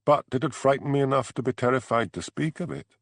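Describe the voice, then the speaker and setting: A man's voice: medium pitch, fast, no echo, clean studio sound, voice pushed up, natural voice. A man playing an anxious Scottish village father. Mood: shamefaced. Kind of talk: audiobook character dialogue.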